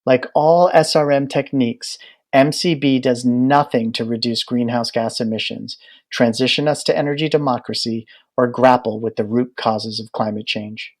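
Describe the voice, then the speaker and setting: A man narrates an audiobook from a prepared text. His voice has a medium pitch, and he explains the material in a measured way. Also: fairly even intonation.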